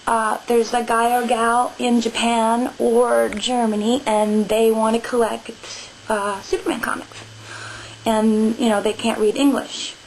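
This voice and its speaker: Gravelly young woman vlogging casually. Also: mid-range voice, a little hiss or hum, low-quality recording. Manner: rambling with many fillers and restarts. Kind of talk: casual talk to camera. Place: small bedroom in front of a bookshelf.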